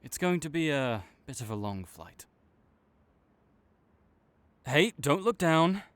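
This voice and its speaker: A man playing a tsundere supervillain in an audio roleplay, halting and exasperated, teasing and reluctantly caring toward a rival. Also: a little high, sing-song, a bit bright, dry recording, voice pushed up, exaggerated voice. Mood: reassuring, teasing. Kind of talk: intimate audio roleplay addressed to the listener.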